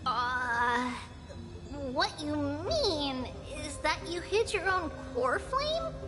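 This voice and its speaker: A woman voicing a tiny, cute, earnest and excitable mascot creature in a video game. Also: high voice, extremely slow, heavily acted. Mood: puzzled. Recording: music behind the voice.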